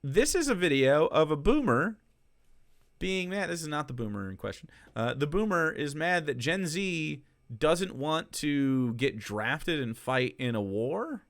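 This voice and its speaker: A man's voice: on the high side, sing-song, unhurried, a bit bright, dry recording, studio quality. A man who streams political commentary, reacting to clips in a casual, sarcastic and profane way, laughing between remarks.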